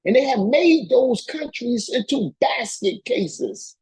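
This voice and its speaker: Male lecturer, notably high voice with heavy rasp, hammering points home in plain colloquial speech and repeated phrases. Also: expressive, takes it slowly, darkish tone. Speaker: political education lecturer. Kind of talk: impassioned political lecture, reading and commenting on a text.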